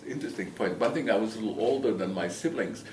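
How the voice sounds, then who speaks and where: A man's voice: fairly low pitch, expressive, quick pace, a loud crowd. An older man with a slight Latvian accent, reminiscing casually in a conversational, self-deprecating way as an interviewee. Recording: echoey room, distant mic, noisy background.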